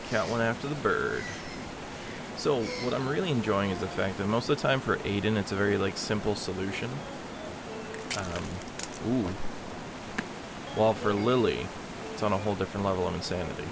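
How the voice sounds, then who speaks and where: A man casually commentating a game as he plays, chatting and reacting aloud in a relaxed way. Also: fairly low pitch, fairly quick, long pauses, background noise. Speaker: gameplay commentator. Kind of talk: casual let's-play commentary.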